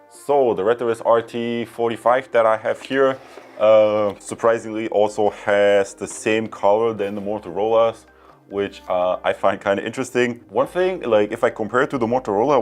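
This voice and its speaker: A man talks through a product review. His delivery is casual and unscripted, wandering a little as he chats to viewers. Music plays behind him. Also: on the low side. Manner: rambling, conversational.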